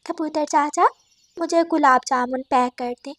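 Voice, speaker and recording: Woman voicing a high-pitched, gentle mother sparrow. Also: steady tone, a little slow, no room sound, studio quality. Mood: polite, friendly. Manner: polite, gentle, earnest. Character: kind, caring mother sparrow, female.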